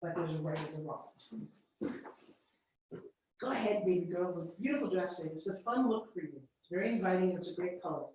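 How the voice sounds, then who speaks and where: A woman's voice: lowish voice, lively intonation, brisk, long pauses, a little mellow, a little hiss or hum. A woman business coach giving a live workshop, direct, blunt and playful as she gives feedback. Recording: very echoey room, mic far off.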